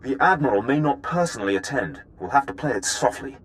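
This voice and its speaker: An actor playing a cautious military intelligence officer in a video game, giving a formal, precise briefing. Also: male voice, medium pitch, expressive, quick pace, slight background noise, lightly acted. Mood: measured, strategic.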